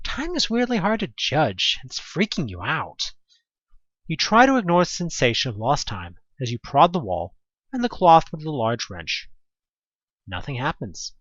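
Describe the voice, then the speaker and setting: An expressive storyteller narrates a horror audio drama in the second person. The medium-pitched voice swings up and down with conversational asides. The recording is dry and slightly muffled. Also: unhurried, no room sound.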